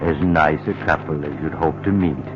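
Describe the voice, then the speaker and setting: A man's voice: very deep voice, fairly even intonation, moderate pace, music in the background. An actor playing a sinister male narrator who leads listeners into a creepy radio tale, in 1940s radio style. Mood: ominous. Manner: teasing, ominous delivery. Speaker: narrator host. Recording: muffled old radio broadcast with hiss.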